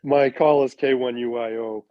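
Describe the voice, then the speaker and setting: A man's voice: mid-range voice, fairly even intonation, moderate pace. A man speaking casually as an audience member with a question in an online meeting, on slightly muffled audio.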